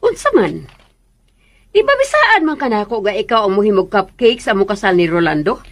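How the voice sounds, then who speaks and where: A woman's voice: mid-range voice, sing-song, fairly quick. A woman playing a haughty, menacing mistress who gives imperious orders in a Cebuano radio drama. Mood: commanding. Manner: imperious, threatening, commanding.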